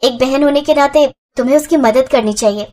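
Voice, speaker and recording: A woman's voice: high voice, a little fast, a little mellow, close to an everyday voice. A woman voicing a kind, sensible young sister-in-law who keeps the peace in a moral story. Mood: earnest reproach. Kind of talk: Hindi moral story voice acting.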